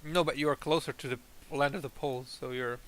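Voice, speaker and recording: A man's voice: medium pitch, steady tone, brisk, a bit bright, a little hiss or hum. A young man chatting casually and teasing his friend while explaining their factory in a game. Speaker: co-host playing a factory game. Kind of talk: casual chat over gameplay.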